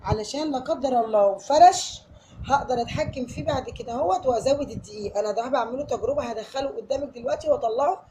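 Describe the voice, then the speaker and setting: Fast-talking Egyptian woman with a tired voice. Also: high-pitched, mellow, a little hiss or hum, low-quality recording. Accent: Egyptian Arabic. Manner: chatty, addresses viewer directly, step-by-step. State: tired voice from a cold. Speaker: home cook. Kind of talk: cooking tutorial. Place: home kitchen.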